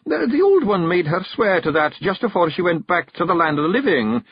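A male narrator voicing a small, fierce, excitable fairy clan chief with a Scottish accent, high, loud and flustered. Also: fairly quick, slightly rough, no room sound, muffled recording, heavily acted.

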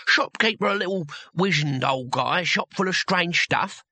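A man voicing a skinny, stubborn old barbarian hero in an audiobook, with gruff, blunt speech. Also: sits high, lively intonation, measured pace, sharp tone, no room sound, pitched above the performer's own voice, exaggerated voice. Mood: curious, knowing.

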